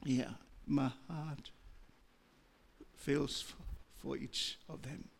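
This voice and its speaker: A man with an Australian accent preaching a sermon as a pastor, moving from low, measured talk to loud, impassioned preaching. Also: little pitch movement, creaky, a little hiss or hum.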